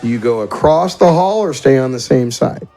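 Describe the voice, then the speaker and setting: A man's voice: medium pitch, expressive, normal speed, vocal fry, dark tone, soft background music. A man narrating a tabletop game as game master, with theatrical, improvised storytelling.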